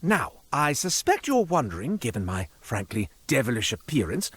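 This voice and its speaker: A man's voice: medium pitch, big pitch swings, moderate pace, slightly rough, soft background music. A man playing a flamboyant devilish roadside merchant in a video game, with florid, theatrical, ingratiating sales patter. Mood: sly, showy. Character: flamboyant devilish roadside curio merchant, male, wheedling and grandiose.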